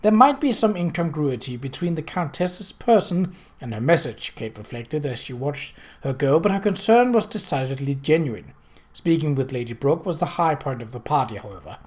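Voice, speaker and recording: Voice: mid-range voice, lively intonation, normal speed, a little mellow. Audiobook narrator, middle register, scripted storytelling from a novel.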